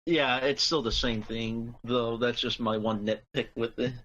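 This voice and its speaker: A man's voice: medium pitch, fairly even intonation, a little slow, a bit bright, highs cut off, a little hiss or hum. A man chatting casually as a panelist in a podcast discussion.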